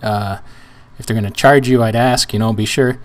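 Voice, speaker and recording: A man with a hoarse, weak voice walking viewers through filling out a form on screen, in a casual, rambling way with restarts and fillers. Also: on the low side, fairly even intonation, normal speed. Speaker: trucking channel host. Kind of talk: screen-share tutorial walkthrough.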